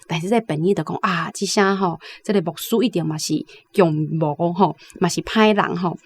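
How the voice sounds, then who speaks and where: A woman's voice: on the low side, moderate pace. A woman with a Taiwanese accent giving explanatory literary commentary on radio, conversational, often ending phrases with particles.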